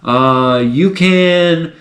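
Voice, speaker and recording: A man giving a casual how-to lesson on stand-up comedy, talking with lots of personality and big swings in pitch. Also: drawn-out words, reverberant. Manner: animated, swings pitch widely for effect. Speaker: comedy teacher.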